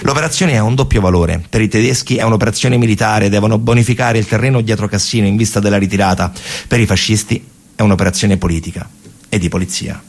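A man narrating a radio theatre monologue dramatically, in colloquial, short phrases with repetitions and a husky voice. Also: on the low side, fast. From Italy. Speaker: storyteller actor.

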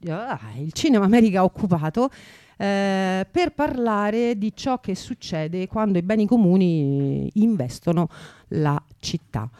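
A woman's voice: medium pitch, darkish tone, dry recording. Chatty woman radio host, close dry sound. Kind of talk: chatty event announcements, unscripted.

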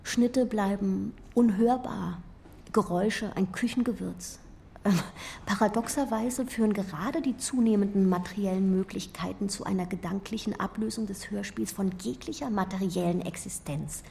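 A woman's voice: medium pitch, moderate pace, vocal fry, mellow, slight background noise. A woman narrating a scripted, essayistic radio piece.

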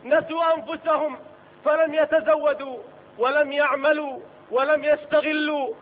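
A man's voice: very high voice, flat delivery, moderate pace, a little hiss or hum. A man delivering a solemn, declamatory Friday sermon as a preacher in a mosque. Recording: tinny phone-like sound in a reverberant hall.

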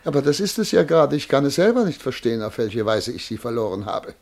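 An actor playing a self-important, easily flustered male official in a radio play, halting, pompous and pleading, with a husky voice. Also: medium pitch, big pitch swings, a little mellow. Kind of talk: German radio play acting.

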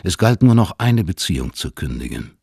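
Male literary narrator for radio drama: low, husky voice, very dry sound. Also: big pitch swings, moderate pace, darkish tone, no room sound.

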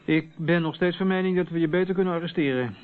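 A man's voice: on the high side, quick pace, lightly acted. A man acting in a radio play as a pushy, sceptical policeman with a sardonic, challenging manner. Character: sceptical, pushy male policeman, threatening arrest. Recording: slightly dull old radio recording.

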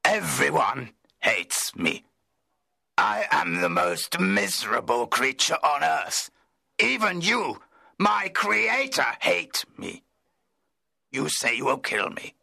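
A wretched, man-made monster, acted by a man in an audiobook, his voice deeply gravelly and husky. He speaks slowly and bitterly, lonely and full of brooding menace. The tone is bright and edged. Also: big pitch swings, full character voice. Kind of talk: audiobook character voice.

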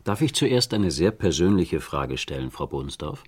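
An actor playing a courteous, probing police inspector in a German radio crime drama, asking questions in a measured way. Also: male voice, rumbling low, big pitch swings, darkish tone, slightly rough, faint music behind, heavily acted. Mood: calm, probing.

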